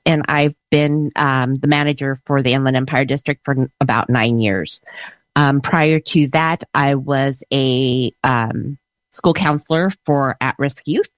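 A woman's voice: sits low, no room sound. A middle-aged woman with a sore throat, talking around a cough drop, friendly and apologetic as she starts her presentation. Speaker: district manager presenting. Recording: dull lo-fi call audio.

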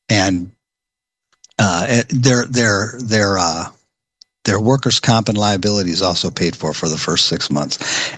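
Halting male presenter, low voice, dry close sound. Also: a bit bright, husky, no echo. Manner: halting, many pauses and restarts. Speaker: program presenter at a public meeting. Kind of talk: informal briefing.

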